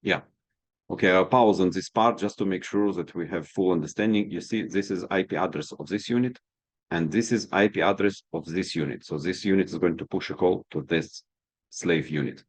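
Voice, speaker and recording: A man's voice: sits low, moderate pace, no echo. A man presenting a product demonstration as a trainer, explaining each step in an instructional way. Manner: step-by-step, instructional, checking understanding.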